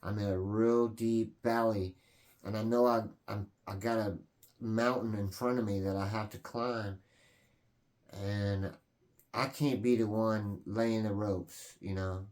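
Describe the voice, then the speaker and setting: A man's voice: lowish voice, fairly even intonation, slow pace, darkish tone. A man in his late forties hosting his own video channel, talking casually and earnestly, rambling with many fillers and restarts. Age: 40s. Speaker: channel host. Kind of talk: casual vlog talk.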